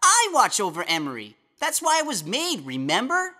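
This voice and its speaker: A woman's voice: mid-range voice, huge pitch swings, normal speed, bright, forceful tone, exaggerated voice. A woman voicing a sarcastic, fiercely loyal little male guardian creature in a cartoon, snappy and incredulous. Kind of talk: animated web series dialogue.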